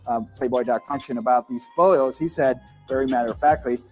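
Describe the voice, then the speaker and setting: A man's voice: mid-range voice, brisk, soft background music. A man telling an anecdote in a chatty, amused way on a podcast. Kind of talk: anecdotal storytelling.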